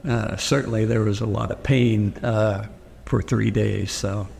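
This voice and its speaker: Gravelly man with Pennsylvania Dutch roots. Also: fairly low pitch, flat delivery, a little slow, darkish tone, soft background music. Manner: hesitant, many fillers and restarts. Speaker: interviewee. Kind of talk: oral history interview. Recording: long reverb with faint noise.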